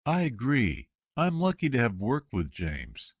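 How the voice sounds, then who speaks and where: A man reading the candidate's part in a scripted practice job interview, with clear, measured delivery. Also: medium pitch, huge pitch swings, dry recording, clean studio sound.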